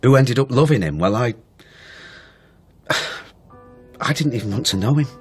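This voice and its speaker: An actor playing a weary, self-reproaching estranged son in a radio drama, reflective and understated. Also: male voice, medium pitch, expressive, normal speed, faint music behind, lightly acted. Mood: rueful.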